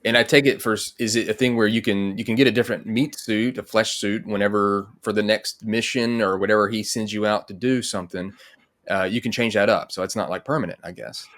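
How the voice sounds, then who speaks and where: Casual low-voiced man hosting an interview. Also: steady tone, a little fast, fairly bright tone. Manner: rambling, thinking aloud, informal. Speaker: podcast host.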